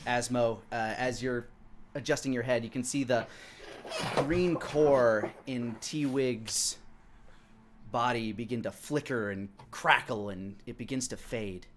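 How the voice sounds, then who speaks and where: Guy in his 30s doing game master narration for a fantasy tabletop game, normal pitch. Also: slow, slight background noise. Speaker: game master and show host.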